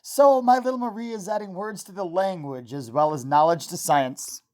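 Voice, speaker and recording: A woman playing an affectionate, proud scientist husband in an old-time radio drama, tender, encouraging and gently teasing. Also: fairly low pitch, moderate pace, lightly acted. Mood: fond, amused.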